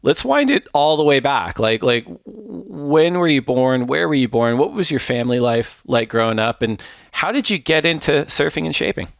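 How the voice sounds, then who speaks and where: A man's voice: on the high side, lively intonation, normal speed, vocal fry, hardly any pauses, no room sound, clean studio sound. A man hosting a podcast and interviewing in a conversational style. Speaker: podcast host.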